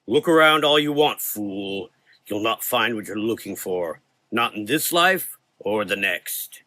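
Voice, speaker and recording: A man voicing an eerie, calm shadow avenger in a gothic horror audio drama, taunting in a smooth, deliberate way. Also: fairly low pitch, no room sound. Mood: sly, taunting.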